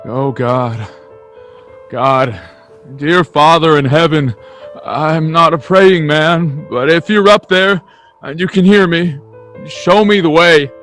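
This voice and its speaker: Agitated husband at the end of his rope, male actor, emotional radio-play delivery, slightly high pitch. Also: slow pace, fairly bright tone, soft background music. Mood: despairing prayer. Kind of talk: old-time radio play acting.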